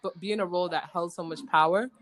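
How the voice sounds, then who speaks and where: A woman hosting a podcast, talking in a bubbly, slangy, excitable way as she chats casually with a guest. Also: lowish voice, fast. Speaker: podcast host. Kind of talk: casual interview chat.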